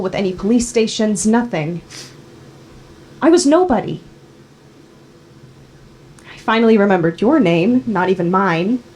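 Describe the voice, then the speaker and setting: A woman's voice: medium pitch, a little mellow, a little hiss or hum, natural voice, low-quality recording. A woman playing a bitter, wounded daughter confronting her guilty father in a screenplay table read.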